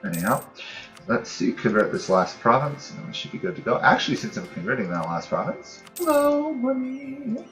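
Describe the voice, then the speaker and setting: A man's voice: mid-range voice, wildly swooping pitch, moderate pace, fairly bright tone. A man giving casual let's-play commentary on a strategy game, thinking aloud as he plays. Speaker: host of a gaming channel playing a strategy game. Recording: dull, roomy home recording. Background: game music.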